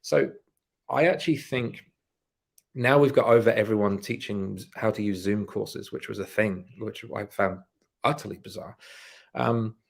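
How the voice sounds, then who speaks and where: A man's voice: medium pitch, vocal fry, breathy edge. A man speaking as a podcast guest and leadership coach in a casual conversation, earnest and emphatic, often restarting his sentences.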